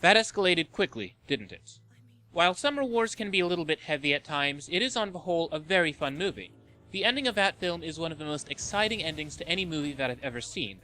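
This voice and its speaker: Bright, animated man presenting a list show. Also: fairly high pitch, rough recording. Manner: wry, tongue-in-cheek. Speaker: host of a video game and movie show. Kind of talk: scripted list-show narration.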